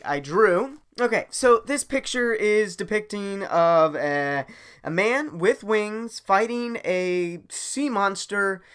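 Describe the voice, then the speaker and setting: A man casually improvising a story as host, halting and thinking aloud as he goes. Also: on the high side, fairly bright tone, clear, resonant tone.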